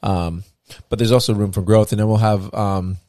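A man's voice: lowish voice, steady tone, moderate pace, mellow, no room sound, studio quality. A man co-hosting a podcast in casual chat, teasing his co-host and laughing often.

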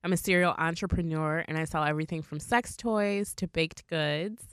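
A low-pitched young woman talks in a loose, candid way, heavy with "like" fillers and casual swearing. She is a podcast co-host. The sound is close and dry. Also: normal speed, clear, resonant tone, dry recording. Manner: blunt, swears freely, many "like" fillers.

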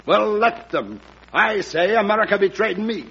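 A man's voice: sits high, animated, normal speed, creaky, fairly bright tone, husky, lo-fi sound, full character voice. An actor playing a proud, wounded statesman accused of treason, theatrical and impassioned, in a classic radio drama. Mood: wounded, defiant. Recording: noisy, band-limited old radio recording.

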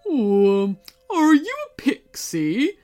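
A woman's voice: sits high, huge pitch swings, slow, darkish tone, faint music behind, voice pushed up, full character voice. A woman voicing a weary male griffin courtier in a storybook, polite, hesitant and prone to spluttering.

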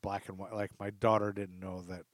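A man's voice: on the low side, flat delivery, a little fast, dry recording, clean studio sound. A middle-aged man hosting a podcast in casual chat, with a rambling, self-deprecating way of talking. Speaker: podcast host.

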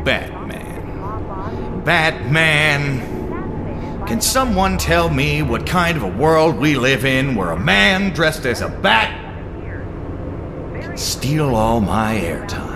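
A gleeful menacing clown villain, super slow n drawn out, pitch swoops everywhere, audio drama. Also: male voice, big pitch swings, background noise, exaggerated voice. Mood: indignant. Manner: theatrical, drawn-out delivery with sudden swoops.

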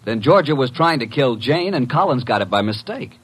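Unflappable male sidekick and narrator for vintage radio mystery, medium pitch, very lively intonation, sardonic. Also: fairly quick, breathy edge. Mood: matter-of-fact. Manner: breezy, sardonic, mock-polite. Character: wry, cheeky male detective's assistant, streetwise and unflappable. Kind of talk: 1950s radio drama acting and narration.

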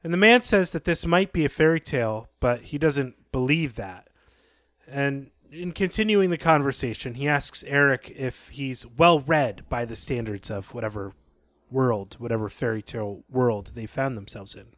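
A man's voice: mid-range voice, normal speed, fairly bright tone, no room sound. A man co-hosting a podcast, retelling a story and commenting on it as he goes. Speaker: podcast co-host.

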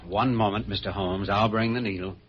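An actor playing an earnest, decisive young hospital doctor in a 1940s radio drama, brisk and serious. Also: male voice, lowish voice, steady tone, natural voice. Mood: doubtful. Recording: muffled, noisy old radio recording.

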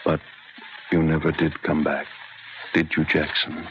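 Very deep, haunted, brooding narrator. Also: male voice, monotone, a little slow. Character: brooding husband recalling past events, haunted inner narration. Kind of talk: old-time radio drama narration. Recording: muffled, noisy old radio recording.

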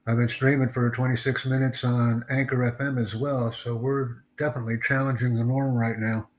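A man's voice: medium pitch, level tone, a little fast. Upbeat man teaching on a live stream. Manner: upbeat, repetitive, slips into simple Spanish greetings. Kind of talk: casual live-stream chat.

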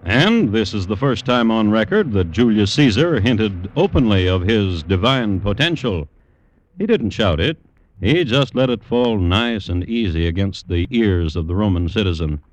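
A man's voice: lowish voice, animated, moderate pace, low-quality recording. A man narrating a dramatized history in old-time radio style, with clipped, theatrical phrases and a wry touch. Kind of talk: dramatized radio history narration in mid-century newsreel manner. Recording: old radio recording with music behind.

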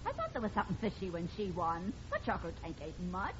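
A woman's voice: lowish voice, huge pitch swings, fast talker. A woman playing a bossy, suspicious working-class housewife in 1950s radio sitcom style. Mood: indignant. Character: bossy, suspicious, envious working-class housewife who nags her husband. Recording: muffled, hissy old radio broadcast.

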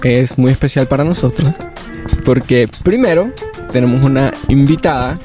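A man's voice: mid-range voice, normal speed, music in the background. A man co-hosting a podcast, chatting casually, asking easygoing questions and chuckling often. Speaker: podcast co-host. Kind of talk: casual chat.

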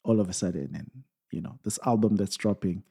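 A man co-hosting a relaxed Q&A with his wife, talking things through with rambling examples and playful asides. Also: on the low side, fairly quick, husky. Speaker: podcast co-host, singer. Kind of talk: casual couple Q&A chat.

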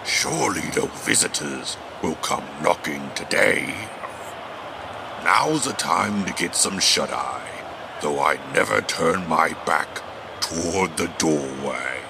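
Deep, gravelly, pompous dog poet. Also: male voice, lively intonation, slow pace, background noise, exaggerated voice. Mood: solemn reciting. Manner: grandiose, theatrical recitation. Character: pompous male dog fighter, self-styled poet. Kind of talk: audio drama acting.

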